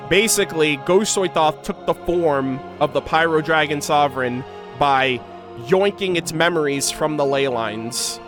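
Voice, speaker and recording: Male lore streamer, slightly high voice, conversational and keen, music clearly audible underneath. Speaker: content creator hosting a game lore reaction. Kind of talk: casual streamer commentary with read-aloud game lore. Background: background music.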